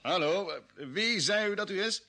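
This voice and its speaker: An actor playing a level-headed spaceship commander who gives orders and asks questions in a vintage radio drama. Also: male voice, sits high, animated, normal speed, pushed and bright, heavily acted. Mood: alert. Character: level-headed male spaceship expedition leader.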